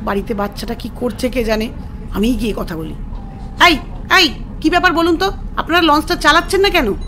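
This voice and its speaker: Actress as a high, sharp, scolding woman. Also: a little fast, a little hiss or hum. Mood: irritated. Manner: scolding, indignant. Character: impatient, irritated woman ferry passenger.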